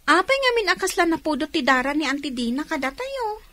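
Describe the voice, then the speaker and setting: Young daughter for radio drama, Ilocano accent: very high voice, respectful, always asking why. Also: female voice, sing-song, brisk, sharp tone, heavily acted. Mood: questioning, hurt. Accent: Filipino, Ilocano.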